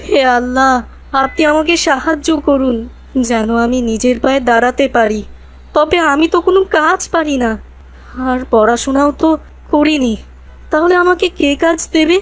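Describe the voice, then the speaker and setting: Woman voicing an earnest high-pitched young street boy. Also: moderate pace, close to an everyday voice. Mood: worried, pleading. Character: earnest, humble homeless street boy of twelve or thirteen. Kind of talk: cartoon voice acting.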